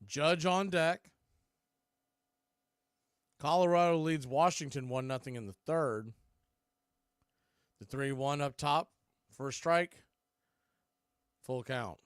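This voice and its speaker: A man's voice: fairly high pitch, slow, pauses often, clean tone, no room sound, clean studio sound. A man doing live baseball play-by-play as announcer and host, conversational and opinionated.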